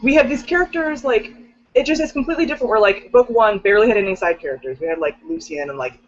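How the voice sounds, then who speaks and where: A woman's voice: medium pitch, animated, quick pace, dull audio. A woman chatting casually and emphatically in a book discussion, swearing freely and leaning on "like". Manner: emphatic, swearing, filler-heavy with "like".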